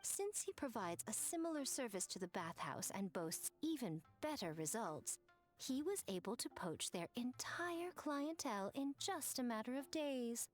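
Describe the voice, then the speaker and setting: A woman's voice: on the high side, very animated, a little slow. A sly, elegant priestess, speaking in a slightly raised, very lilting voice. She teases and plays coy in a game performance, with faint game music underneath. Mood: teasing. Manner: playful, teasing, coy. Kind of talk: game voice acting.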